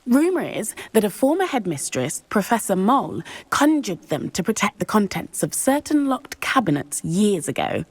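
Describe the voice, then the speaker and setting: A woman playing an eager, chatty teenage witch in a video game, explaining things in an animated way. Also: medium pitch, moderate pace, few pauses, breathy edge, no echo. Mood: eager, gossipy. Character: chatty, eager teenage witch student sharing school rumours.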